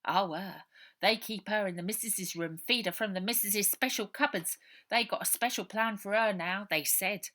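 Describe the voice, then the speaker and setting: A woman's voice: mid-range voice, normal speed, bright, forceful tone, lightly acted. Woman acting a plaintive working-class English orphan boy. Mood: confiding. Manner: colloquial, earnest, ungrammatical speech. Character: frightened ten-year-old orphan boy, plaintive, streetwise.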